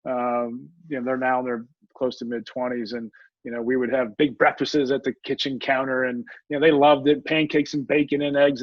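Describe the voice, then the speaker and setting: An older man hosting a radio talk show, speaking in halting, rambling sentences full of fillers. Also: medium pitch, dry recording. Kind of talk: radio interview chat. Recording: muffled dry audio.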